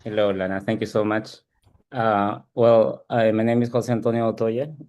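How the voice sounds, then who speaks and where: Male coach as interview guest, Peruvian Spanish accent, lowish voice, thinking aloud in halting, explanatory answers. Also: flat delivery, darkish tone. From Peru. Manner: halting, reflective, often ends with "right?". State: frequent throat clearing. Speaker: ADHD coach and support group founder, interview guest.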